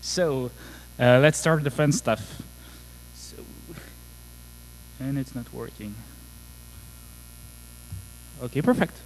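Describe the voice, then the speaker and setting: A man's voice: mid-range voice, slow pace, pauses often, a little hiss or hum, rough recording. A man in his thirties from France with a French accent, giving a joking, casual conference talk full of fillers. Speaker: conference speaker. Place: conference hall.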